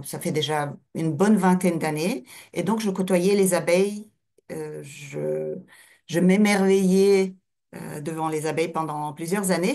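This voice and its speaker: A woman's voice: lowish voice, a little mellow. A middle-aged woman, giving an introductory lecture as a guest speaker, hesitating as she searches for words. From Russia and Ukraine.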